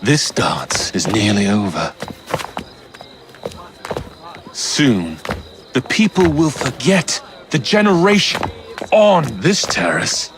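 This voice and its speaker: An actor playing a suave, menacing British villain in a Victorian video game, courtly and philosophical, with a theatrical, deliberate delivery. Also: male voice, medium pitch, expressive, background noise. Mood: quietly threatening. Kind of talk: dramatic video game cutscene acting. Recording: music behind the voice.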